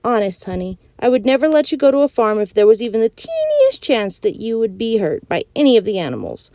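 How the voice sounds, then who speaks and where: A woman voicing a patient, gentle mother who speaks softly and reassuringly. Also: fairly high pitch, wildly swooping pitch, brisk, no echo.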